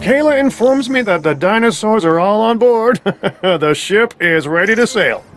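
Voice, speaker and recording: A man's voice: high voice, expressive, a little slow, faint music behind. An actor playing an affable, slightly nervous male dinosaur park manager in a video game, chatty in manner. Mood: upbeat.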